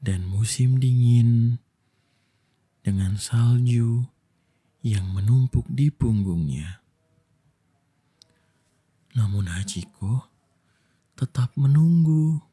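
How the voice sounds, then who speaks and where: A young man gives a gentle, soothing ASMR bedtime delivery in a soft, breathy voice. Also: mid-range voice. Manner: slow, gentle, soothing delivery with long pauses. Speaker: ASMR voice actor telling a bedtime story as a boyfriend.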